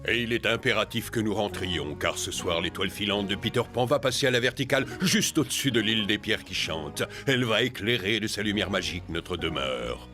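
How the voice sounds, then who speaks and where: A man's voice: fairly low pitch, expressive, fairly quick, hardly any pauses, lightly acted. A man voicing the courteous, earnest leader of a group of singing stones in a cartoon, theatrical in delivery. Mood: urgent, earnest. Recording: music bed behind.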